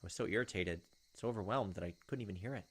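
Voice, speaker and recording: A man's voice: fairly low pitch, rapid-fire, breathy edge. A man hosting a gaming video, reading story text aloud expressively and dropping in casual asides.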